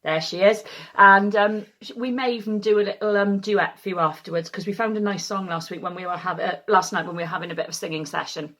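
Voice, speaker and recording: Chatty Welsh woman with a husky voice. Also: medium pitch, fairly even intonation, fast talker, fairly bright tone. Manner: chatty, self-deprecating, many ums. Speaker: choir leader. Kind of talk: casual chat to camera. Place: at home. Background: faint keyboard.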